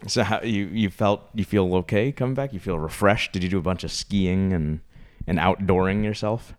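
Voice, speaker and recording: A man's voice: sits low, moderate pace. A man co-hosting a podcast, talking casually and rambling with lots of fillers. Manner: rambling, trailing off, many fillers. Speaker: podcast co-host.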